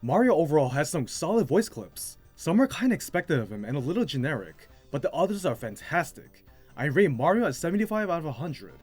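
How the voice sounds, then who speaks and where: A man's voice: a little high, big pitch swings, a little fast, a little mellow, slightly rough. A man delivering scripted narration as the host of a video game commentary. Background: music.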